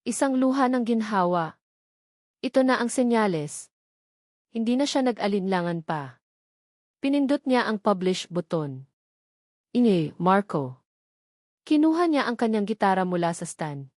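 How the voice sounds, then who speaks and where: A woman's voice: fairly high pitch, animated, normal speed, pauses often, darkish tone, no echo, clean studio sound. A woman reading a scripted, dramatic story as a narrator.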